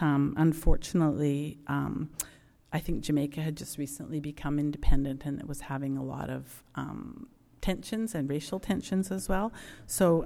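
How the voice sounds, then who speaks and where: A woman's voice: sits low, creaky, warm tone. A middle-aged woman sharing her personal testimony in church, conversational and reflective with frequent ums. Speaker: church member giving testimony. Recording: long church reverb.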